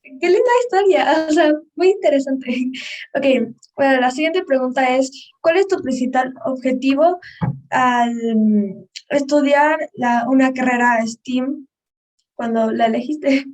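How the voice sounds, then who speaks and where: A woman's voice: high voice, a little slow, a little mellow. A woman interviewer putting prepared questions in a polite, hesitant manner. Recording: distant from the microphone.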